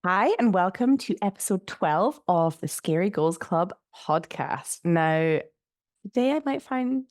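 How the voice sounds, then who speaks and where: Woman, low voice, stuffy nasal sound, rambling casual chat, dry close recording. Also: lively intonation, measured pace, dry recording. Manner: chatty and rambling, with frequent "like" fillers and laughs. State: congested, nasal from blocked sinuses. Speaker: podcast host. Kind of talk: relaxed solo podcast chat. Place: spare room at home.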